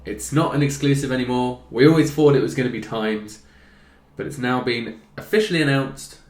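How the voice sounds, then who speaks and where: A man's voice: mid-range voice, fairly quick. A hungover man in his twenties hosting a casual gaming news chat, jokey and teasing with his co-hosts. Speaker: host. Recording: roomy echo.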